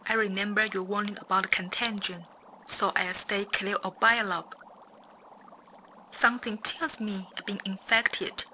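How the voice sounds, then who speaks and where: Sharp, tense, frightened woman. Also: mid-range voice. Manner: tense, urgent, clipped phrases. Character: frightened, doomed female mining-lab scientist warning a friend. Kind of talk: dramatic audio-log narration in a horror game. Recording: faintly noisy audio-log recording.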